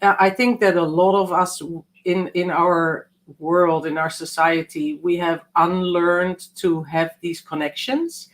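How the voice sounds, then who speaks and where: A woman from the Netherlands, a guest on a live video call, speaking in a warm, reflective way that sometimes slows and softens. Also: fairly low pitch, fairly even intonation. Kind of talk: casual online interview.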